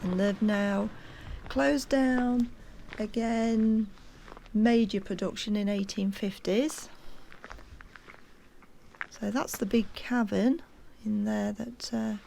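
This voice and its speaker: A woman's voice: mid-range voice, steady tone, slow, gravelly, long pauses, dark tone, a little hiss or hum. A woman narrating a casual walking tour, chatty and hesitant with plenty of ums, at times out of breath from climbing. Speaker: walking vlogger. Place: outdoors on a hillside.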